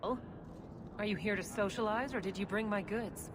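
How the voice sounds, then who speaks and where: A woman's voice: medium pitch, normal speed, a crowd in the background, close to an everyday voice. An actress playing a brusque female smuggler boss in a fantasy video game, curt and sardonic. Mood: irritated. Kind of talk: video game cutscene acting. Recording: clear ambient game noise behind.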